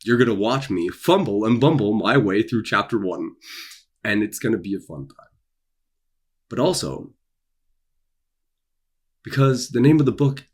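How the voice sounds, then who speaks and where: Young man, husky, somewhat low pitch, theatrical vlogger, emphatic, dramatic pauses. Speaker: author and video creator. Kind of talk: casual promotional vlog, dramatic delivery. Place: small dark room indoors.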